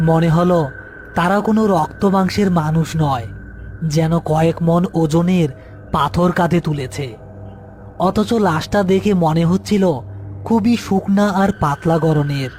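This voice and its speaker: A man's voice: sits high, darkish tone. A man narrating a scripted horror story as storyteller.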